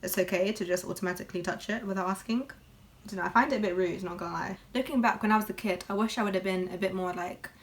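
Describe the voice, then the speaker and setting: A woman's voice: mid-range voice, quick pace. A young woman, chatting candidly while doing her makeup for a beauty vlog. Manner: chatty and candid, lots of fillers. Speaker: beauty vlogger. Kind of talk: casual get-ready-with-me chat.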